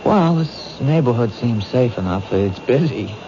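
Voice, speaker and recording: An actor playing an earnest, protective police detective in a 1970s radio drama, plain-spoken and persistent. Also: male voice, medium pitch, animated, measured pace. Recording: muffled, hissy old radio recording.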